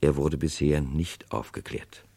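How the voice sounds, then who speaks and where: An actor narrating a 1960s radio crime play as a grave chronicler, with dramatic, deliberate delivery. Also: male voice, rumbling low, vocal fry, noisy background, heavily acted. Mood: grave, reflective. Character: grave male chronicler of a historic murder case.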